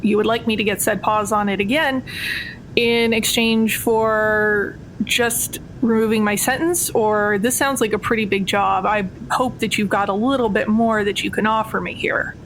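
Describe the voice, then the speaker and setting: A woman's voice: steady tone, normal speed, fairly bright tone, close to an everyday voice, low-quality recording. A woman playing a wry, skeptical jailed thief in a tabletop game, casual and sardonic. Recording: noticeable low rumbling background noise.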